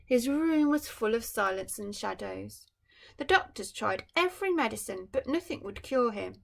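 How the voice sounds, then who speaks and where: A woman teacher reading a storybook aloud to children. Her fairly high voice has a strong gravelly creak. She swings widely in pitch and breaks off into gentle, musing asides to her listeners. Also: expressive, normal speed. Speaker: primary school teacher.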